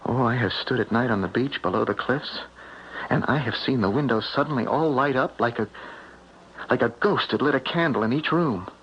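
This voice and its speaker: A man's voice: mid-range voice, normal speed, husky, lightly acted. An actor playing a superstitious, stubborn and lovestruck young small-town man in a 1970s radio drama, speaking plainly and emphatically. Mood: insistent, challenging. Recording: dull, noisy old radio broadcast recording.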